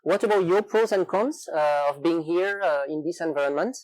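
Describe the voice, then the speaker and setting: A man with a French accent hosting a casual interview in a coworking space, speaking with many fillers and pauses. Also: on the high side, a little mellow, dry recording, low-quality recording. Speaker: host.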